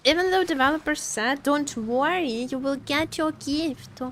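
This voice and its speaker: A woman streamer giving casual live commentary on a game, expressive and playfully complaining, often repeating her phrases. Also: high-pitched, measured pace, a little hiss or hum. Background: game music.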